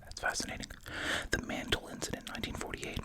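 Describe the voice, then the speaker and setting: An ASMR host speaking entirely in a soft, breathy whisper, musing casually while chewing gum. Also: fast.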